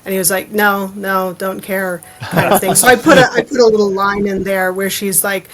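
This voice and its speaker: A woman's voice: mid-range voice, steady tone, moderate pace, a crowd in the background, poor audio. A woman talking casually as a podcast guest, informal and given to loose run-on asides. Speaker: interview guest, adventure fiction author.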